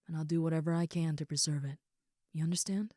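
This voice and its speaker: A woman playing a caring, affectionate young ogre roommate in an intimate comfort roleplay, speaking softly and sincerely in a rambling, reassuring way. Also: low-pitched, fairly even intonation, quick pace, dry recording, studio quality. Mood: tender, sincere.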